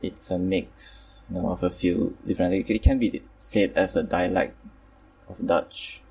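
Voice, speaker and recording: A man's voice: sits low, fairly even intonation, unhurried, gravelly, dark tone, recorded from a distance. A man hosting a casual online group chat, talking hesitantly with many fillers and apologies. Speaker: host.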